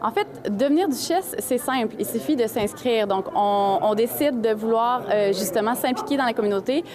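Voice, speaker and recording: A young Quebec woman with a Quebec French accent speaks in a fairly high voice. She answers questions in a poised, promotional way. A busy crowd chatters around her in the mall. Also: expressive, normal speed. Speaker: interviewee, carnival duchess. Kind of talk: interview in public place. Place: shopping mall.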